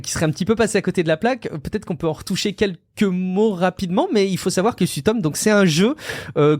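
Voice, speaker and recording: A man's voice: on the high side, darkish tone, dry recording, studio quality. A man from France hosting a tech podcast interview, chatty and hesitant, with lots of fillers. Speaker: podcast host.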